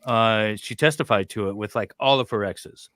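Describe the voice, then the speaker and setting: A man reading a document aloud haltingly and breaking off for casual commentary. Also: on the low side, a bit bright, no echo.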